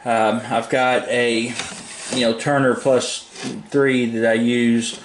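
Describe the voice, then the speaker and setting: Flat-toned man in an echoey room. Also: mid-range voice, slow. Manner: plain-spoken, informal, rambling. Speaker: hobbyist product reviewer. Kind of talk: casual unscripted demo talk. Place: indoors at a home desk. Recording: echoey room, faint background noise.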